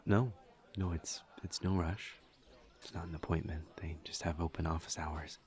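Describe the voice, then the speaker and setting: A man playing a shy, polite incubus student in an intimate audio roleplay, speaking softly and haltingly. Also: low voice, fairly even intonation, lightly acted. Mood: awkward, polite. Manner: hesitant, halting, awkward small talk. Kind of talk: audio roleplay acting, intimate one-sided conversation.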